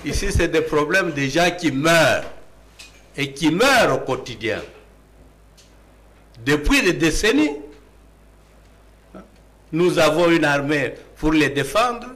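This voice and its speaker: A man's voice: fairly high pitch, expressive, takes it slowly, pauses often, fairly bright tone. A man with a Congolese accent, arguing emphatically as a panelist in a political debate and posing rhetorical questions.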